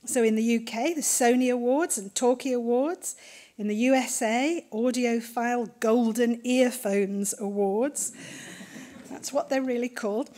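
A middle-aged woman with a fairly high voice introduces guest speakers from a lectern. Her delivery is that of a prepared speech to a lecture theatre. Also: a little mellow. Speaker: event host introducing speakers.

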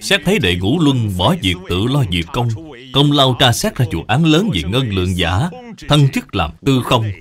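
A man's voice: medium pitch, big pitch swings, normal speed, lightly acted. A man voicing a stately old imperial envoy in a cartoon, formal and declamatory. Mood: stately, proclaiming.

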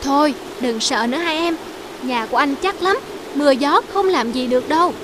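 Cartoon big-brother rabbit, played by a woman: high, steady, reassuring, gently teaching, over clear rushing noise. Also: close to an everyday voice. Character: caring eldest brother rabbit, sensible and reassuring. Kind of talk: cartoon dubbing.

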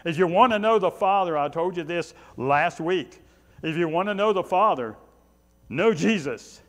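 A pastor in his sixties delivers a sermon in a fairly high male voice. He drives points home with short, repeated phrases, then drops into conversational asides. The church around him adds a long reverb. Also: animated, normal speed. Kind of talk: sermon, preaching. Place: small church sanctuary, indoors.

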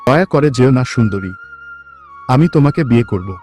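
A man's voice: mid-range voice, lively intonation, a little fast, darkish tone, soft background music, natural voice. A man voicing a hapless young village husband in a cartoon, speaking in an earnest, plaintive way. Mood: smitten. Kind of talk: cartoon voice acting.

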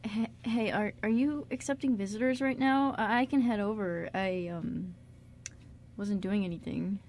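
A woman playing an emotional, devoted young man in an amateur radio drama, halting and intense. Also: mid-range voice, a little mellow, a little hiss or hum, close to an everyday voice. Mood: relieved.

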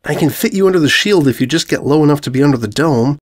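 A man's voice: on the high side, expressive, quick pace, voice pushed up. A man voicing a fussy, earnest pony mare with a scolding manner in a fantasy story. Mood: urgent.

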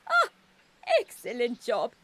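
Kindly gazelle schoolteacher for a cartoon game: high, lively, sing-song delivery. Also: female voice, takes it slowly, a little hiss or hum, full character voice. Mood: brisk, encouraging. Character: kindly, cheerful adult female gazelle schoolteacher. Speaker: teacher character. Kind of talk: animated children's game acting.

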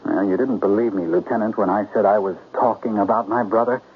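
Old radio drama, muffled with faint noise. A man plays a scheming crime boss who talks smoothly and insinuatingly, smug beneath a genial front. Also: on the low side, fairly even intonation, brisk, lightly acted. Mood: feigned regret. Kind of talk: 1970s radio drama acting. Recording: muffled, noisy 1970s radio recording.

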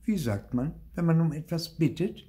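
A man playing a patient mother who gently corrects her child in a measured way in a children's story. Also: on the high side, lively intonation, voice pushed up, natural voice. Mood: instructive.

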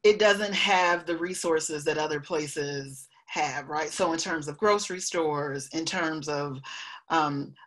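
A woman's voice: sits low, slow, fairly bright tone. A woman answering interview questions conversationally as a guest, reflective and often checking in with a questioning tag. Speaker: guest, professor and author.

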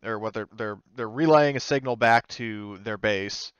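A man improvising narration as game master of a tabletop game, speaking in a halting, informal way. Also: on the low side, a bit bright, smooth, no echo, muffled recording. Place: indoors, online game session.

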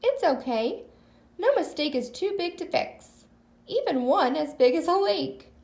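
A woman's voice: sits high, sing-song, unhurried, darkish tone, echoey room, voice pushed up, full character voice. A woman voicing a cheerful, hopeful young girl in a storybook, earnest and wishful. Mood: reassuring.

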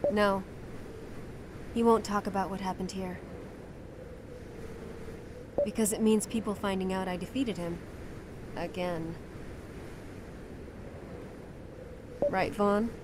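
A woman's voice: mid-range voice, steady tone, measured pace, long pauses, background noise, natural voice. A woman playing a principled young heroine in a fantasy game, speaking earnestly with composed firmness. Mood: firm resolve. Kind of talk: dramatic fantasy game voice acting.

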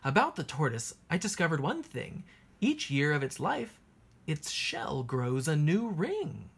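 A woman teacher reading a children's picture book aloud in an expressive, sing-song way, with a husky voice. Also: low voice, a little slow, slight background noise.

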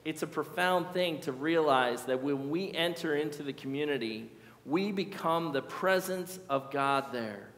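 A man's voice: a little high, fairly bright tone. Earnest male pastor in an echoey church. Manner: earnest, measured preaching with slow emphatic repetitions. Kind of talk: sermon. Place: large church sanctuary.

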